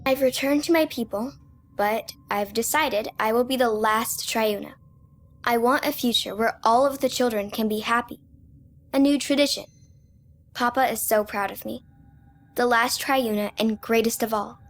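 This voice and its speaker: Woman acting young girl, game character, higher pitch, earnest, proud, hopeful, resolute. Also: normal speed, natural voice. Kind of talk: dramatic video game monologue.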